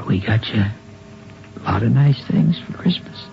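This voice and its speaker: An actor playing a grieving, quietly determined father in a 1950s radio drama, halting and plaintive in his delivery. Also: mid-range voice, lively intonation, vocal fry, slight background noise. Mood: grief-stricken pleading. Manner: halting, plaintive, speaking simply to a child. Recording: muffled old radio recording, faint music.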